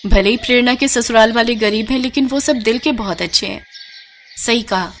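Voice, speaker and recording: A woman's voice: a little high, moderate pace, a bit bright, slight background noise. A woman voicing a wealthy, caring young friend, chatty and emphatic, in a cartoon moral story. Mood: concerned.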